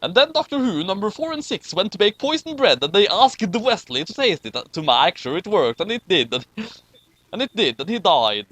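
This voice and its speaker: A man reading comic fan fiction aloud with exclamatory, animated delivery and amused asides. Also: high voice, normal speed, no echo.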